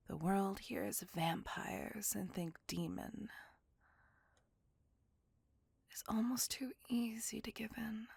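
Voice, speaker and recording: Woman acting as a gentle old-soul vampire lady, asmr roleplay, super breathy whisper, really slow and earnest, close dry sound. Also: medium pitch, no room sound, heavily acted. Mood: grave, bitter. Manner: slow, tender, earnest confiding. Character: gentle, possessive, remorseful female vampire, long immortal.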